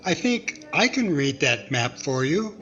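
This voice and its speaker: Radio-comedy cowboy , man acting: earnest, self-assured, slightly high pitch, musical backing. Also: very animated, measured pace, fairly bright tone, lo-fi sound, faint music behind. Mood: confident. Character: earnest, confident cowboy family man, map reader.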